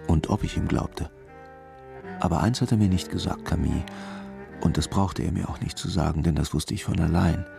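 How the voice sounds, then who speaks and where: An actor playing a brooding, fatalistic police detective, confiding ominously in a radio crime drama. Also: male voice, low-pitched, normal speed, gravelly, music in the background. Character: brooding, fatalistic male police detective haunted by lost love.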